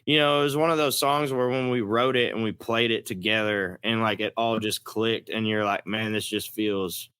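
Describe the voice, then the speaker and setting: A man's voice: lowish voice, fairly even intonation, moderate pace, few pauses, a bit bright, smooth, dry recording. A man with a Texas accent chatting casually in an interview as a country rock singer, folksy, plain-spoken and a little profane.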